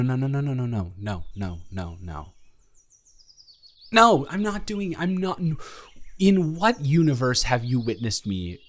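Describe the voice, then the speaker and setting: Man playing awkward young male dormmate, mid-pitched voice, smitten, introverted, stammering, rambling, intimate romantic audio drama. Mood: playfully indignant. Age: young adult. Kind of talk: intimate romantic audio roleplay, conversational acting.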